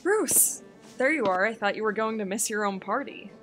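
A woman's voice: medium pitch, lively intonation, normal speed, a bit bright, soft background music, close to an everyday voice. A woman playing a bold, teasing, determined society woman in an audio drama. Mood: teasing, confident.

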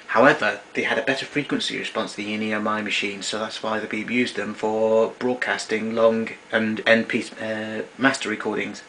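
A man's voice: fairly low pitch, fairly bright tone. A man giving an informal hands-on equipment demonstration in a matter-of-fact, explanatory way, recorded on a camera mic in a small room at home. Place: small domestic room indoors. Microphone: camera mic a short distance away. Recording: noisy amateur room recording. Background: clear background noise.

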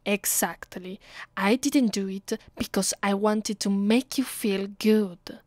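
A woman with an Italian accent playing a domineering, cruel vampire mistress in an ASMR roleplay, commanding and deliberate. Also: medium pitch, no room sound. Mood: irritated. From Italy. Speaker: voice actress. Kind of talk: ASMR roleplay, close and slow with whispered moments.

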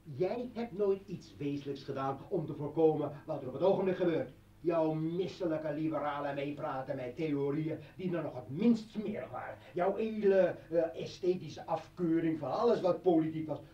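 An actor playing a bitter, militant revolutionary and former journalist in a radio play, ranting and taunting in halting bursts. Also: male voice, fairly high pitch, warm tone, husky. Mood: scornful. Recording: dull vintage radio drama recording.

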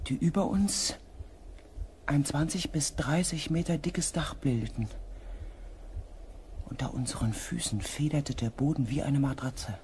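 An actor playing a grave expedition member who narrates in the first person, measured and sometimes hushed, in a sci-fi radio play. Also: male voice, medium pitch, slight background noise, natural voice. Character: grave male expedition member recounting an eerie mission.

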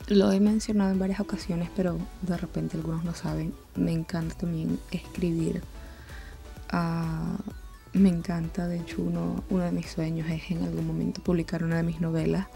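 A woman's voice: lowish voice, vocal fry, dark tone. A woman in her twenties with a Venezuelan accent, chatting casually and ramblingly in an informal voice-over. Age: 20s. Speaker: art channel host. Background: music.

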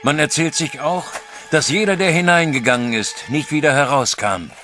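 An actor playing an old male farmer and grandfather, stern and fearful, in an audio drama, talking hushed and then grimly threatening. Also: fairly high pitch, moderate pace, soft background music. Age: older. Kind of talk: radio drama acting.

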